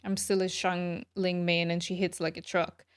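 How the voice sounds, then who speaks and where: A woman's voice: lowish voice, flat delivery, normal speed, clean tone, dry recording, clean studio sound. A woman game streamer chatting casually with her viewers. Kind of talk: casual livestream chat. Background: soft background music.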